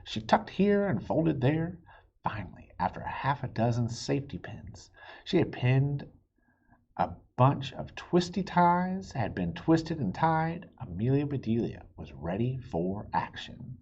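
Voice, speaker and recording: Man, children's book narrator, hugely varied intonation, slightly husky, dull lo-fi recording. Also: mid-range voice, unhurried. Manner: expressive, with spoken asides and stumbles. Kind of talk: animated read-aloud narration.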